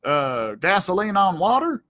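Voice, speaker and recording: A man's voice: sits high, sing-song, a little slow, pushed and bright. A man reading a children's story aloud as a library storyteller, theatrical and folksy.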